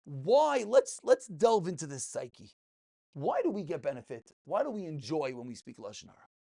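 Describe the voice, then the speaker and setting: A man's voice: on the high side, sing-song, moderate pace, vocal fry, mellow. A man, a rabbi teaching an informal religious class in an emphatic way, posing rhetorical questions and repeating phrases. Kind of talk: informal Talmud and ethics lecture.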